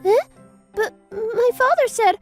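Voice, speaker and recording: A woman's voice: very high-pitched, animated, slow pace, darkish tone, soft background music, full character voice. A woman playing a haughty, scheming schoolteacher in an anime-style comic dub, theatrical, flustered and pleading. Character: haughty, scheming female schoolteacher desperate for a rich fiancé.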